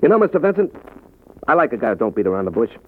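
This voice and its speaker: Cocky sneering young boxer. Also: male voice, medium pitch, very animated, rapid-fire. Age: young adult. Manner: swaggering, sneering. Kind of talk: 1950s radio drama acting. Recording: tinny old radio broadcast with faint hiss.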